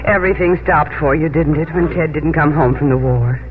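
A woman's voice: low-pitched, brisk, lightly acted. An actress playing a middle-aged, affectionate, fussing society mother in a 1950s radio drama. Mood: soothing. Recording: tinny vintage radio broadcast, music behind.

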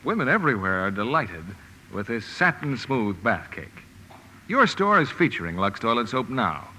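A man reading a 1950s radio advertisement as a polished commercial announcer. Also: sharp tone. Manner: polished sales pitch. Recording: reverberant, hissy old radio broadcast.

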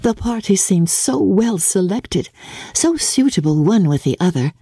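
A woman voicing a sweet, modest young gentlewoman who speaks formally and sincerely in a dramatic storybook reading. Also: medium pitch, animated, measured pace, slightly rough, no echo, voice pushed up. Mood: earnest protest.

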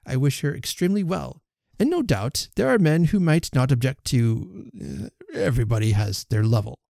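A man's voice: medium pitch, big pitch swings, normal speed, vocal fry, warm tone, dry recording, clean studio sound. A man reading the part of a pompous young clergyman who protests his devotion and takes offence. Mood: affronted. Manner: effusive, then haughty.

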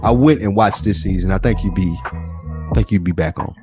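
A man's voice: low-pitched, moderate pace, darkish tone, soft background music. A man co-hosting a podcast in casual, opinionated chat, slangy and given to swearing. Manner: informal and slangy with frequent swearing. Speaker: podcast co-host.

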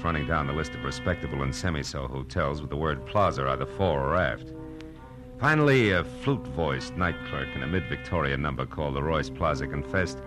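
A man playing a hard-boiled, wisecracking private detective in a 1940s radio drama, wry, clipped and slangy. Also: very deep voice. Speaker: lead actor and narrator. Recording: old radio drama with music behind.

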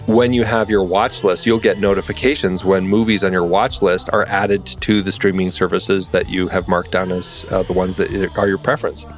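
A man co-hosting a podcast in casual chat, enthusiastic and rambling with many fillers. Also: lowish voice. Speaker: podcast co-host.

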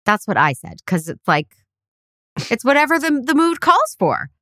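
A woman's voice: on the high side, very animated, moderate pace, a bit bright, no room sound, clean studio sound. A woman co-hosting a casual chat podcast, teasing her partner and reading out quiz questions. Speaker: podcast co-host.

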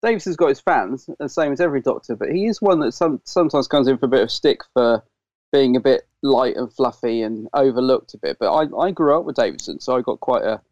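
A man co-hosting a podcast, chatting casually and rambling through stories, his throat a bit dry and croaky. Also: medium pitch, lively intonation, fast talker, darkish tone, no echo. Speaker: podcast co-host. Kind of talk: casual chat. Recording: dull lo-fi sound.

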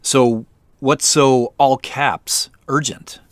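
A man's voice: mid-range voice, steady tone, very slow, dry recording. A man playing a casual, probing detective in a naturalistic audio drama, dry and inquisitive. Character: dry, inquisitive male detective working a case.

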